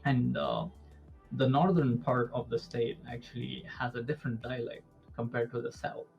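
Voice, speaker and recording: A man's voice: mid-range voice, little pitch movement, moderate pace, creaky, a little mellow, faint music behind. A young man with an Indian accent, a native Urdu speaker, explaining things as a guest in a casual interview and often checking with "right?". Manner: explanatory, with repeated "actually" and "right?". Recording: dull lo-fi sound.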